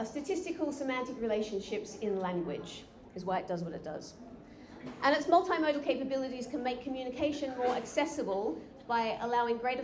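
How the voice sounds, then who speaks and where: A woman's voice: fairly high pitch, darkish tone. A woman giving a live conference talk, chatty with frequent fillers and restarts. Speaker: conference speaker. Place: conference room. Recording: echoey hall with faint noise.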